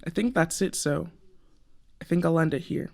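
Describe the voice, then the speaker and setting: A man playing an earnest research scientist in a radio play, recording a log and thinking aloud haltingly. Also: a little high, creaky. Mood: musing.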